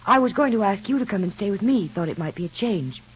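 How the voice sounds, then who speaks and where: An actress in an old radio soap opera playing a frank, insistent, unhappily married woman, speaking earnestly and deliberately. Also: mid-range voice, animated, slightly rough. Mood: hesitant, hurt. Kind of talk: 1940s-50s radio soap opera acting. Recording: muffled old radio recording.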